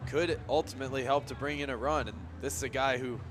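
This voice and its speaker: Guy doing baseball color commentary, conversational and explaining stuff, normal pitch, clear outdoor noise behind him. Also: fairly even intonation, fast talker.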